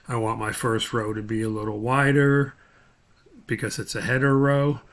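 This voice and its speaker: A man's voice: medium pitch, level tone, normal speed, a bit bright. A man giving an informal screen tutorial as an instructor, thinking aloud step by step as he demonstrates.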